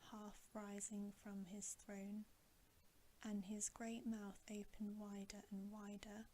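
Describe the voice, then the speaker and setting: A woman reading a bedtime story as ASMR in a breathy whisper. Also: medium pitch, completely flat delivery, slow pace, warm tone, slight background noise.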